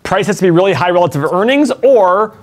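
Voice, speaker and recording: A man's voice: high-pitched, animated, fast talker. A middle-aged man co-hosting a casual finance chat, explaining numbers step by step and asking rhetorical questions. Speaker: co-host and stock analyst.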